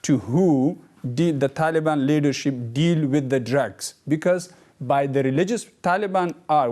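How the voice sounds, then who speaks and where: A man from Afghanistan, speaking as a guest in a debate and explaining his view in halting, repetitive English. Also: medium pitch, mellow. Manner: halting, repeats words, earnest. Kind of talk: debate discussion.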